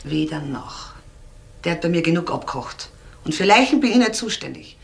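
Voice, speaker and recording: A woman's voice: fairly low pitch, animated, moderate pace, gravelly, slight background noise. A woman playing a tough, brusque, fearless woman in a crime radio play. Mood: defiant. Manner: brusque, confrontational.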